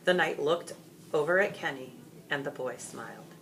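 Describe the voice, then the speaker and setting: A woman reading a story aloud as expressive scripted narration. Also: low voice, normal speed, slight background noise, poor audio. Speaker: storybook reader.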